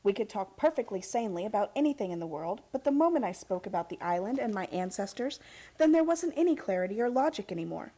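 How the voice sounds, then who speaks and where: An actress playing a frustrated young heiress in an old-time radio play, earnest and full of questions. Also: female voice, mid-range voice, very animated, fast, warm tone. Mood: insistent, frustrated. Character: frustrated, insistent young heiress seeking family secrets.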